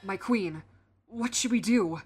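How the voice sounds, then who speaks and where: A woman's voice: mid-range voice, slow pace, music in the background, close to an everyday voice. Woman acting an urgent, stammering royal guard captain. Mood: anxious, urgent. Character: anxious, loyal male royal guard captain. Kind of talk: dramatic fantasy audio roleplay acting.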